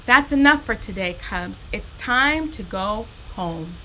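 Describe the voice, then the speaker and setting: A woman's voice: mid-range voice, animated, slow pace, bright, forceful tone, a little hiss or hum, low-quality recording. A woman voicing a harried female bear teacher directing a children's play in a storybook, with theatrical, commanding calls. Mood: brisk.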